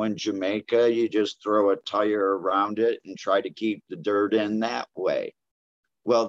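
A man's voice: low voice, no echo. A man talking bluntly in an interview about growing cannabis abroad, rambling with many fillers. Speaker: cannabis grower guest. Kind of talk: casual interview talk. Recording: dull lo-fi audio.

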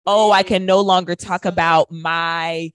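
A woman with a fairly low voice co-hosts a casual radio talk show. She speaks conversationally and puts her opinions forward. The sound is dry, with no room around it. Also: fairly even intonation, a little slow, no room sound. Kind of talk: casual chat.

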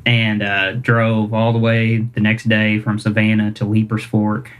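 Rambling guy, lowish voice. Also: completely flat delivery, unhurried, rough recording. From Kentucky, US. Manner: rambling, self-deprecating, many fillers and chuckles. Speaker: singer-songwriter guest. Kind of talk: casual interview chat.

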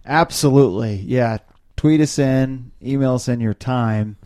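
A man's voice: medium pitch, unhurried, dark tone, dry recording, clean studio sound. A man co-hosting a podcast in casual, chatty banter. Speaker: podcast co-host.

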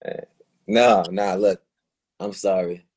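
A man acting a hurt, frustrated young boyfriend in a naturalistic play reading, halting and repeating himself. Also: deep voice, steady tone, a little mellow, breathy edge, heavily acted. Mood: uneasy, withdrawn. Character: hurt, frustrated young boyfriend confronting his girlfriend about racism. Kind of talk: readers theatre play reading, naturalistic dialogue.

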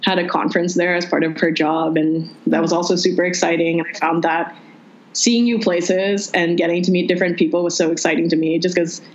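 A young woman from the Philippines, telling her own story in a relaxed, chatty way with many "like"s. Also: brisk. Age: young adult. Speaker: interviewee. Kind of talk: casual chat. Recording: muffled low-fidelity audio.

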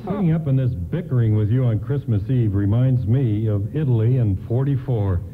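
Casting: older male actor as kindly, avuncular doorman in a 1950s-set radio play, lively medium-pitched delivery, lo-fi sound with faint hiss. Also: moderate pace, lightly acted. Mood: reminiscing. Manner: avuncular, reassuring, hesitating politely. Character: kindly, patient middle-aged 1950s department store doorman. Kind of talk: nostalgic radio play acting. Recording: lo-fi hissy radio play recording.

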